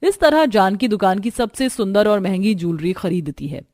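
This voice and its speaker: A woman's voice: mid-range voice, very animated, normal speed, darkish tone. A woman reading scripted narration for a cartoon family story.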